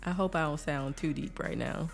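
A woman's voice: sits low, normal speed, creaky, faint music behind. A woman with a cold, her voice nasal, teasing her husband on their casual podcast. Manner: teasing, laughing. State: sick, nasal. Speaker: co-host, host's wife. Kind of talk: casual chat.

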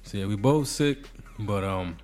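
A man's voice: on the low side, expressive, unhurried, a little mellow. A man with a cold and a nasal voice hosting a casual podcast, joking and bantering. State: sick, nasal. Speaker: podcast host. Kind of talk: casual chat.

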